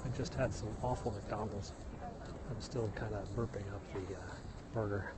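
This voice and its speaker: A man's voice: lowish voice, fairly even intonation, moderate pace, heavy background noise. A middle-aged man with a Midwestern American accent, chatting casually to viewers as he livestreams a walk on a hillside trail and points out the sights. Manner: chatty, tour-guide pointing out sights, answers viewer comments. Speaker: live-streaming vlogger. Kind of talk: casual walking livestream chat. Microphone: phone held while walking. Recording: phone recording outdoors with loud cicadas. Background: insects and cicadas.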